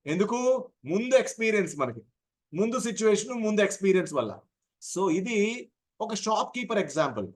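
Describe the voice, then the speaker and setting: High-pitched man teaching. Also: sing-song, a little fast, long pauses. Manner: explanatory, asks and answers own questions, repeats for emphasis. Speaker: voice modulation trainer. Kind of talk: informal teaching with acted everyday examples.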